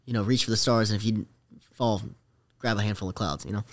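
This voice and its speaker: A man's voice: fairly low pitch, steady tone, quick pace. A young man chatting casually as a podcast guest, talking in a hesitant, rambling, slangy way. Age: late teens to young adult. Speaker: rodeo rider, podcast guest.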